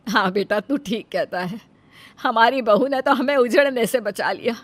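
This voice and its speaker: A woman's voice: on the high side, normal speed, no room sound, natural voice. A woman voicing an approving, grateful older father in a cartoon story, emphatic and solemn. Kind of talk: Hindi cartoon story acting.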